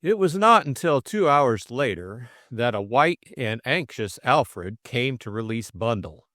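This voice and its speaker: A man's voice: medium pitch, very animated, unhurried, fairly bright tone, no echo, studio quality. A middle-aged man working as audiobook narrator and co-host, reading a story and chatting about it. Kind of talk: audiobook narration and casual discussion.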